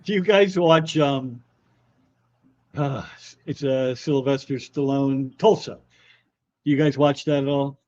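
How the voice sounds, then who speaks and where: A man's voice: medium pitch, steady tone, slow, pauses often, darkish tone, no echo. A middle-aged man hosting a casual livestream, rambling and joking as he reads and answers viewer comments. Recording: dull, slightly muffled audio.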